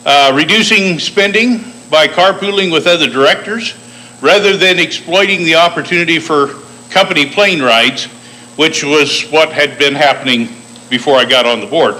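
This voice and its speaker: A male candidate at a public forum in a cafe, listing his record in a measured, point-by-point way. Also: on the high side, sharp tone, low-quality recording. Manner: measured, lists achievements point by point. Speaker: political candidate. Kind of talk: campaign speech at a public forum. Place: bakery cafe forum room.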